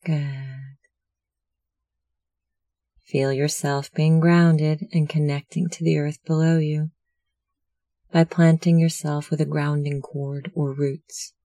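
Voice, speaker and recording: A meditation instructor narrating a guided meditation in a measured, soothing, instructional manner. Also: medium pitch, little pitch movement, dark tone, no room sound.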